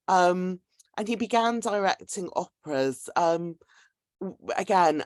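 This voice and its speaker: A woman's voice: lowish voice, dry recording, clean studio sound. A woman hosting a talk and introducing a guest in an articulate, explanatory way. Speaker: host introducing a guest speaker. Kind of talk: semi-scripted event introduction.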